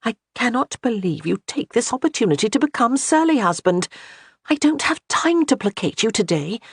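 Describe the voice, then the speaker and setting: A woman voicing a flustered young noble wife, rattled and bossy, in a husky voice. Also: big pitch swings, moderate pace, no room sound, full character voice. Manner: rattled, bossy, high-strung.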